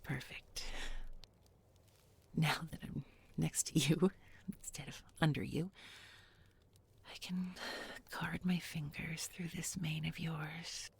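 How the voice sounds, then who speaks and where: A woman playing a tender, bookish young village girl in an intimate audio roleplay, speaking softly and gently, often in a whisper. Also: deep voice, steady tone, full character voice. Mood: tender, soothing. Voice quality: soft, breathy, often whispering. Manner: slow, gentle, murmured with lingering pauses.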